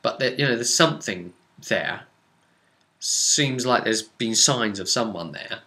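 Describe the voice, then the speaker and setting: Bright-voiced man retelling a tabletop game. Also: on the low side, animated, unhurried. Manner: dramatic, rambling retelling with vivid action descriptions. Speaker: game master recapping a tabletop role-playing session. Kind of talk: casual animated storytelling.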